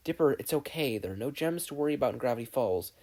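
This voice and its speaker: A narrator voicing a calm, scholarly older great-uncle in a fan fiction audiobook. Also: mid-range voice, fast, natural voice. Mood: reassuring.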